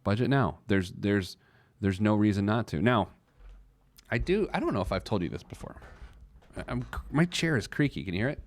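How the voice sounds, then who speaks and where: A man's voice: on the low side, expressive, normal speed. An American man hosting a personal finance show in a conversational, emphatic way, acting out objections and answering them.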